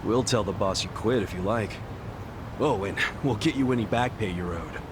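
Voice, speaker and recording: An actor in an English game dub playing a casual, good-hearted street tough with an informal, blunt manner. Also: male voice, fairly low pitch, moderate pace, close to an everyday voice. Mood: friendly, encouraging. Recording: noisy urban ambience with vehicles.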